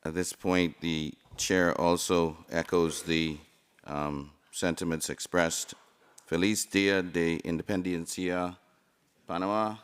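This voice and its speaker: A man with a Bahamian accent chairing a formal session, speaking in a polite, unhurried way. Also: low voice, fairly even intonation, smooth.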